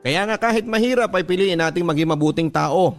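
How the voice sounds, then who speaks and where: A man's voice: high-pitched, brisk. A man with a Filipino accent delivers earnest, sentimental scripted storytelling in the manner of a radio drama host reading a letter. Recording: music bed under the voice. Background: background music.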